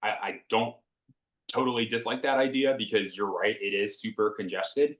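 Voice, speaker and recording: Man, low pitch, reassuring school principal, lots of ums, lo-fi video call. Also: normal speed, a bit bright. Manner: earnest, reassuring, filler-heavy. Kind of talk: answering questions at a virtual town hall. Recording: dull lo-fi video call audio.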